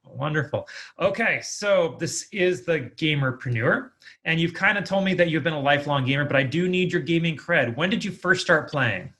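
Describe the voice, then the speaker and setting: A man with a voice a little higher than most hosts a podcast interview. He talks casually and with enthusiasm, as an interviewer putting questions to a guest. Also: lively intonation, normal speed, sharp tone.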